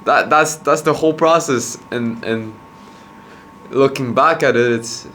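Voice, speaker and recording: A man's voice: mid-range voice, a bit bright, slight background noise. A young man from Quebec whose first language is Khmer, talking to camera in a casual, reflective monologue, restarting and repeating words as he thinks. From Quebec, Canada. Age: young adult. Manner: halting, many restarts and repeated words, earnest. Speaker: vlogger, entrepreneur.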